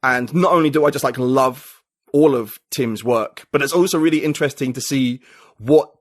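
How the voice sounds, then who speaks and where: A man's voice: mid-range voice, moderate pace, no room sound, studio quality. A man speaking as a podcast guest in a casual conversation, rambling and full of "like" and "you know".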